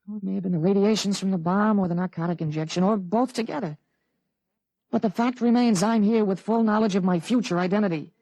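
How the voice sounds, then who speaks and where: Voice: mid-range voice, fast, warm tone, no echo, close to an everyday voice, poor audio. An actor playing a thirteen-year-old boy with a grown man's mind, articulate and earnest, in a 1950s radio drama. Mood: earnest, insistent. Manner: articulate, earnest, matter-of-fact. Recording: vintage 1950s radio broadcast recording.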